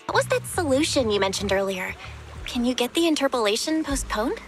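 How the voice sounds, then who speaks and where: A high, youthful female voice for a game heroine. She is earnest and caring, quick to question and full of concern. Light music runs behind her. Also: big pitch swings. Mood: hesitant, questioning. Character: earnest young female helper, caring and inquisitive. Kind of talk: video game cutscene voice acting.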